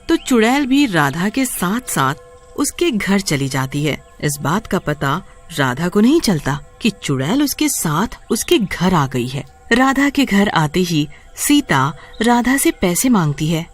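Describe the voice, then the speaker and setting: Animated woman storyteller. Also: lowish voice, unhurried, soft background music. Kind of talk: scripted story narration.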